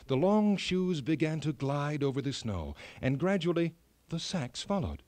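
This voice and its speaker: A man narrating a children's fairy tale in an expressive, measured storytelling style. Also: fairly high pitch, no echo.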